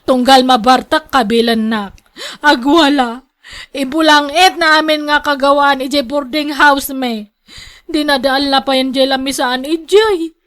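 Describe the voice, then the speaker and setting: High-pitched long-suffering young wife acted by a woman. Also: steady tone, unhurried, fairly bright tone. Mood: tearful, resolute. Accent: Ilocano. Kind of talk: Ilocano radio drama acting.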